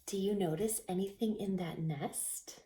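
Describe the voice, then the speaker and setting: A woman teacher reading a children's storybook aloud in an expressive, teacherly way, pausing to put questions to young listeners. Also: mid-range voice. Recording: echoey, roomy sound.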